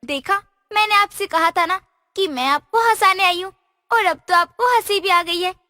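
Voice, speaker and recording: Woman voicing a teasing cartoon fairy girl, very high, bright, dry close sound. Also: moderate pace, no echo. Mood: playful. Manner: teasing, chirpy, mischievous. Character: cheeky playful fairy girl. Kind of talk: cartoon voice acting.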